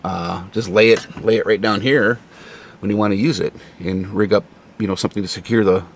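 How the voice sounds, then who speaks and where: A man in a casual, hesitant show-and-tell, thinking out loud like a DIY vlogger in an informal, rambling way. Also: on the low side, fairly even intonation, fairly quick. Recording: clearly noisy, radio chatter at times.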